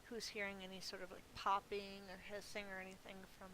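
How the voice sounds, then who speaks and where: A woman's voice: mid-range voice, completely flat delivery, quick pace, pushed and bright. A woman giving casual live gameplay commentary as a streamer, chatty and thinking aloud. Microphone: budget gaming mic. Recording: faint hiss from a cheap microphone.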